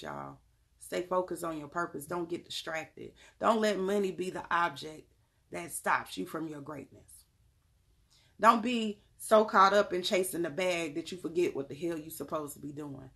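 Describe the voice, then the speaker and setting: Gravelly Southern woman on a livestream. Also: fairly low pitch, normal speed, fairly bright tone. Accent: Southern US, North Carolina. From Charlotte, North Carolina. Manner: emphatic, motivational, blunt with casual cussing. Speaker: livestream host and tarot reader. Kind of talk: casual livestream talk, answering chat messages.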